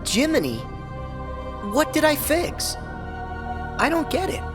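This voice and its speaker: A man's voice: very high-pitched, very animated, slow, over music, exaggerated voice. An actor, a man, playing a naive, curious teenage boy hero in a video game, wide-eyed and earnest. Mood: puzzled. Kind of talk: video game cutscene acting.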